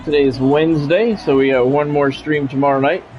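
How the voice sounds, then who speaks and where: American man, slightly high voice, game streamer, announcer-like play-by-play, casual asides, dull lo-fi sound, music behind. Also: fairly even intonation, a little fast. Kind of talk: live sports-style commentary mixed with casual chat.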